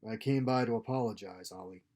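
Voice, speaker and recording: A man's voice: lowish voice, fairly quick, mellow, lightly acted. A man voicing an uneasy, dutiful naval lieutenant, reluctant and conciliatory, in a story read aloud. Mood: apologetic.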